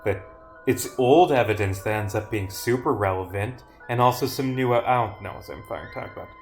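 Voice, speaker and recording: A man's voice: mid-range voice, brisk, faint music behind. A man commentating on his own livestream as a game developer, rambling with muttered asides, self-corrections and casual swearing. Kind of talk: livestream commentary, reading game dialogue aloud.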